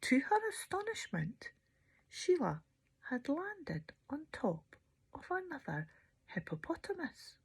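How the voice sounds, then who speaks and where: Slow, lilting woman reading a bedtime story. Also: high voice, wildly swooping pitch, creaky, low-quality recording. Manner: theatrical, drops into hushed near-whispers, draws out words.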